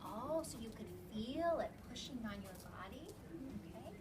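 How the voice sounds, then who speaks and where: A woman's voice: on the high side, animated, normal speed. A woman teacher reading aloud to young children, explaining as she goes and checking in with questions. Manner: instructive, checking understanding with questions and frequent "okay". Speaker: elementary school teacher. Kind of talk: interactive read-aloud to a class of young children. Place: classroom, recorded from across the room. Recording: distant mic, noisy classroom background.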